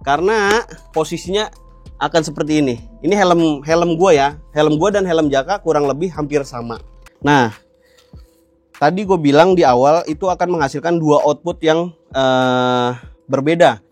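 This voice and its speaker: Higher-pitched Jakarta man, casual tutorial. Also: moderate pace, no echo, faint music behind. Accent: Jakarta Indonesian. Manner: colloquial, explanatory, checks in with viewers. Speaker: motovlog host.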